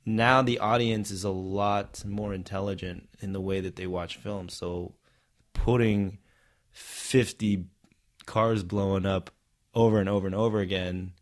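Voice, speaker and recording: A man's voice: low-pitched, steady tone, unhurried. A middle-aged man whose first language is Vietnamese, talking casually and animatedly as a podcast guest and stunt performer.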